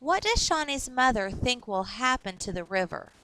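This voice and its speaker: Female teacher, slightly high pitch, read-aloud storytelling for a young class, instructive delivery. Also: sing-song, moderate pace, bright, forceful tone.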